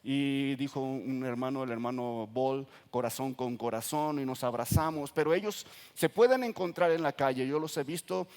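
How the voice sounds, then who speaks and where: A man with a Mexican accent, a pastor preaching earnestly to his congregation and reading scripture slowly with emphasis. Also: medium pitch, a little mellow. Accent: Mexican Spanish. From Nayarit coast, Mexico. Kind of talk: sermon. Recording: reverberant church hall.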